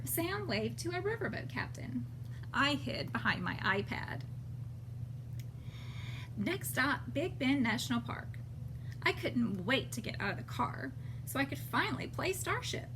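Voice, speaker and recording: A sulky storybook girl read aloud by a woman grumbles about her road trip in a high voice. Her delivery is dramatic and swoops widely, at an unhurried pace, over some background noise. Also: exaggerated voice.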